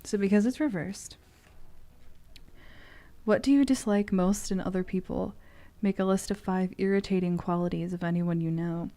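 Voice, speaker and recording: Playful, casually sweary woman streamer, mid-pitched voice. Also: steady tone, normal speed, dark tone. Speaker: streamer giving tarot readings. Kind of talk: casual chat mixed with reading aloud from a tarot book.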